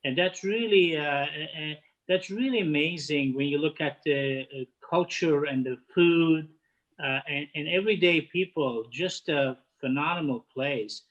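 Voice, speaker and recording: Halting Turkish-accented man in his fifties. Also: a little high, a bit bright, lo-fi sound. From Turkey. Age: 50s. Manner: halting, many "uh" fillers and restarts. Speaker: guest, head of catering. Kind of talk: casual interview chat.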